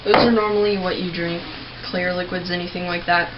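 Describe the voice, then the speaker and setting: A woman's voice: lowish voice, fairly even intonation, brisk. Chatty young woman, lo-fi home video. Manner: chatty, rambling, with many filler words and throat clearing. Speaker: screaming vocal tutor. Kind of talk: casual home tutorial video. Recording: dull lo-fi home recording with faint noise.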